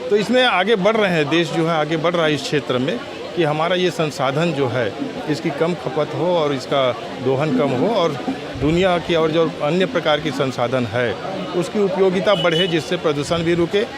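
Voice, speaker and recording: A man speaking as a politician, giving measured, diplomatic and formal answers to a reporter. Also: a little high, a crowd in the background. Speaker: politician, state legislator.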